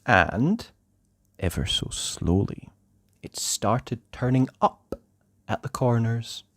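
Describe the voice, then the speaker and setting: A storyteller reading a children's book aloud in a theatrical, deliberate way with drawn-out emphasis. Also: medium pitch, animated, no room sound. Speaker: storybook narrator. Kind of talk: expressive children's read-aloud narration.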